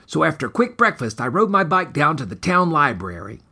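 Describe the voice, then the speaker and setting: A man narrates a comic horror tale with mock-serious formality. His voice is slightly high and husky, and it moves up and down theatrically as he reads. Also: very animated, brisk, pushed and bright. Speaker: author reading his own short story, podcast host. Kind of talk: scripted dramatic narration of a comic horror story.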